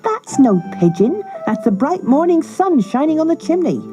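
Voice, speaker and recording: A woman's voice: high-pitched, sing-song, normal speed, music in the background, pitched above the performer's own voice. A woman voicing a hard-hearted fairy-tale stepmother, scolding in a scornful, snappish manner.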